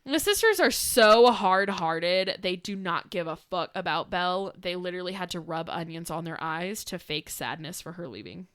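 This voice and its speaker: A woman's voice: fairly low pitch, animated, moderate pace, hardly any pauses, fairly bright tone, smooth, no echo. A young woman co-hosting a podcast, casually retelling a fairytale with sarcastic asides, laughter and quotes acted out in voices. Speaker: podcast co-host. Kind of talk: casual storytelling chat.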